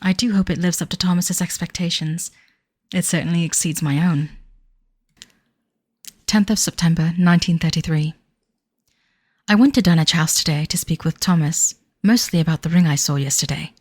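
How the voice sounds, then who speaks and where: Actress as 1930s fiancée in audio drama: lowish voice, formal, wistful diary narration, devoted yet uneasy, close dry sound. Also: female voice, darkish tone, slightly rough, dry recording. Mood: fond. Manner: formal, wistful, measured period diction. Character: young bride-to-be in 1930s, devoted but uneasy.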